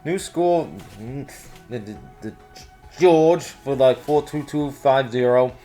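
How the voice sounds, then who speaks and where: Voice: medium pitch, slow pace, mellow, soft background music. A co-host of a store news show, reading out event listings with casual banter and playful joking asides.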